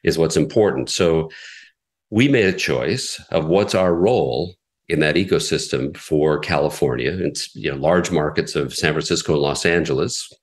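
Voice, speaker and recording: A man in an interview, a radio executive explaining his station's approach in a measured way with frequent fillers. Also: a bass voice. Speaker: classical radio station president. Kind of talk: conversational interview answers.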